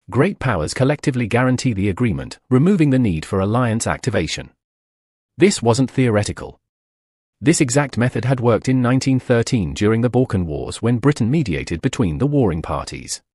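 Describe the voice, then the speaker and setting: A man narrates a history explainer in a husky, slightly breathy voice. His pitch rises and falls a great deal as he delivers punchy, dramatic lines and throws out rhetorical questions. The recording is close and dry, with no room sound. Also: very animated, moderate pace, a little mellow, no echo. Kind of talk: scripted documentary narration.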